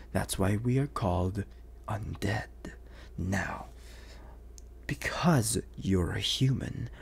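A man voicing a suave, menacing, flirtatious vampire bounty hunter in an ASMR roleplay, teasing in breathy half-whispers with lingering pauses. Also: on the low side, full character voice. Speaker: voice actor.

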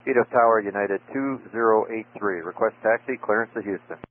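Airline pilot on scratchy radio, low voice, clipped calls, faint hiss. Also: male voice, a little fast. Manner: clipped, repeats call sign. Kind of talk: radio phraseology. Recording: tinny radio transmission with faint noise.

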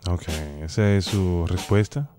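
A young Dominican man hosting a prank call, speaking in a deliberate, formal, official-sounding way into a close studio microphone. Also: low-pitched, fairly even intonation, music in the background. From Dominican Republic. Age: young adult. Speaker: prank call show host. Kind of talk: phone prank, posing as an official. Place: small home studio. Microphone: close studio microphone on boom arm.

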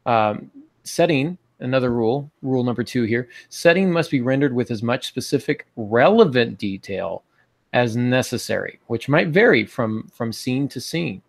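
A man's voice: mid-range voice, animated, normal speed, vocal fry. An American man in his forties hosting a podcast, giving casual instructional talk over a video call. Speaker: podcast host. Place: small home office, indoors. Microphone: earbud headset on video call.

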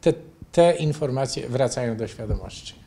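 An older man from Poland answering interview questions in a measured, didactic, explanatory way. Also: medium pitch, lively intonation, mellow. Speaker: interview guest, bioenergy therapy teacher and author. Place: furnished living room, indoors.

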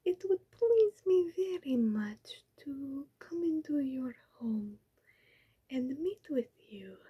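A woman's voice: high-pitched, slightly rough, highs cut off, exaggerated voice. A young woman in an ASMR roleplay, whispering as a scheming female vampire in silly disguises who pleads in broken, formal English. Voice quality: soft whisper. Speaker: ASMR creator. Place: small bedroom with pink backdrop. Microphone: close webcam framing.

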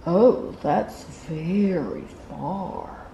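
A woman's voice: fairly low pitch, wildly swooping pitch, very slow, vocal fry, dark tone, slight background noise, full character voice. A woman voicing a big, patient, tender male parent hare in a children's storybook, drawing out the words. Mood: gentle, soothing. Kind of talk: children's picture-book read-aloud.

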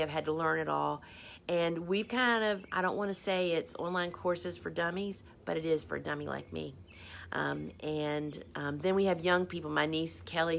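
A woman hosts a relaxed live stream, answering viewer questions. Her voice sits a little low for a woman. She talks in a chatty, rambling way and pokes fun at herself. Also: gravelly, a little hiss or hum. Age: 50s. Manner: chatty, rambling, self-deprecating. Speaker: host.

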